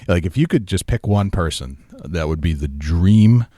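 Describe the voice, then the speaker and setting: Very deep-voiced eager podcast host. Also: male voice, lively intonation, normal speed, mellow, no echo. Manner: eager, chatty, agreeing often. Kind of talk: casual podcast interview.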